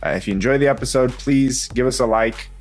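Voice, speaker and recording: A man hosting a marketing podcast, introducing his guest in a halting, casual way with frequent pauses to find words. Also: medium pitch, faint music behind. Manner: halting, many uh fillers. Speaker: podcast host.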